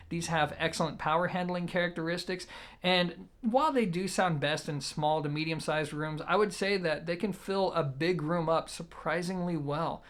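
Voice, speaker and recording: Reviewer talking thru gear, mid pitch, methodical but casual, explains stuff step by step. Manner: explanatory, methodical, informal asides. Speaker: product reviewer, show host. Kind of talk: conversational audio gear review to camera.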